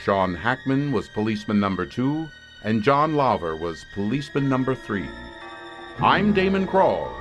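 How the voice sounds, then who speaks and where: A man's voice: mid-range voice, sing-song, moderate pace, over music. A man reading scripted closing credits as the announcer of a radio drama.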